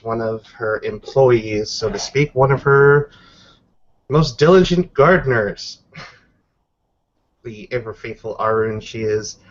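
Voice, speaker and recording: Male tabletop player, unhurried halting narration, muffled lo-fi recording. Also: medium pitch. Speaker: roleplaying game player. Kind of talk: improvised tabletop roleplay narration.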